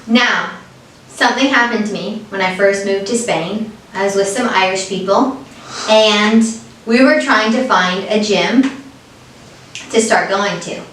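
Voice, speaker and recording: A woman's voice: mid-range voice, measured pace, a bit bright. A young American woman chatting casually as a co-host, telling stories playfully, laughing and acting out what people said. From United States. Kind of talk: casual chat. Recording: echoey room, voice a bit far from the mic, faint hiss.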